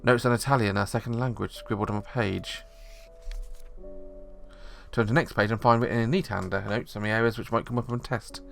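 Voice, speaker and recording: A man's voice: lowish voice, fairly even intonation, fairly quick, vocal fry, pauses often, fairly bright tone, faint music behind. A man reading the narration of a visual novel aloud, steadily, with small slips.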